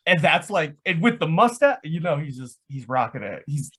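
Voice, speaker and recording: A man's voice: a little high, sing-song, moderate pace, fairly bright tone, breathy edge. A man talking casually and enthusiastically as a guest about his hobby, rambling and saying "like" often. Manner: enthusiastic, rambling, frequent "like" as filler. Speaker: guest, LARP foam crafter.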